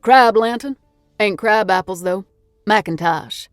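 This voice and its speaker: A woman acts out a scornful roadhouse cook from the American South. He is blunt and folksy, scolding in a loud, lifted voice, as a character in an audiobook. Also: medium pitch, measured pace, no room sound, clean studio sound, faint music behind, voice pushed up, close to an everyday voice.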